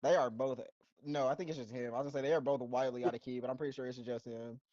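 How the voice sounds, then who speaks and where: A man's voice: mid-range voice, fairly even intonation, racing, a little mellow, no room sound, muffled recording. A man co-hosting a show reaction, chatting casually in slangy, joking talk and laughing often. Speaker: co-host of a reaction channel.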